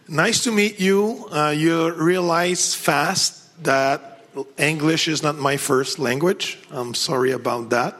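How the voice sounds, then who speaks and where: A man's voice: a little high, a bit bright. A man whose first language is French, speaking English with a Québécois accent as a guest speaker to a church gathering, joking and restarting his sentences. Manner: joking, self-deprecating, halting restarts. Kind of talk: casual talk to a church gathering.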